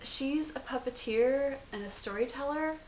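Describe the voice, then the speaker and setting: A woman's voice: high-pitched, normal speed, pitched above the performer's own voice, lightly acted. A woman acting a candid, wry teenage girl in an amateur dramatic dialogue reading. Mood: wry, animated. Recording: roomy echo, hiss in background.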